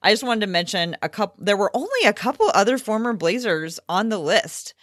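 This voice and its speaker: A woman's voice: mid-range voice, big pitch swings, a little fast, fairly bright tone, no echo, clean studio sound. A woman hosting a sports podcast, chatting casually about basketball with wry asides.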